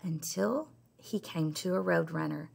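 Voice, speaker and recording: A middle-aged woman, a teacher, reading a children's story aloud in an expressive, emphatic way. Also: on the low side, measured pace, gravelly, breathy edge. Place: indoor school classroom.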